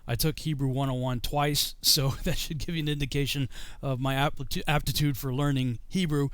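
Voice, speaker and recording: A man's voice: mid-range voice, fairly even intonation, normal speed, a bit bright, smooth, no echo. A middle-aged man on a video call from his home study, explaining things in a loose, rambling way with many fillers and restarts. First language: English. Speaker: guest explaining scripture.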